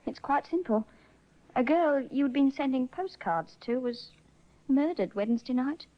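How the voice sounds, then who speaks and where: An actress playing a wary young artist's model, measured and reluctant, in a 1950s radio drama. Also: female voice, high-pitched, expressive. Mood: worried. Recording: dull old radio recording.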